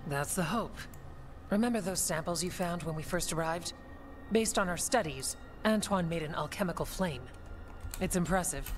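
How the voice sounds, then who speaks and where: A woman's voice: on the low side, moderate pace, slight background noise, lightly acted. An actress playing a practical, resolute female dwarf warden in a video game, firm and direct. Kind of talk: video game dialogue acting.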